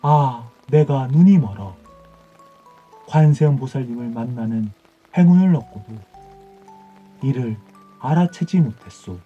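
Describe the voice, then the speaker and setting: A man's voice: mid-range voice, expressive, measured pace, long pauses, warm tone, slightly rough, highs cut off, faint music behind. A man voicing a worldly male Buddhist monk in a folktale, with emphatic, old-fashioned dramatic speech. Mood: astonished, regretful.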